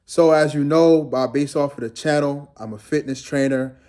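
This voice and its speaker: A man's voice: fairly high pitch, steady tone, moderate pace, warm tone. A young man from Guyana, a fitness trainer, talking casually and rambling to his viewers in a laid-back vlog.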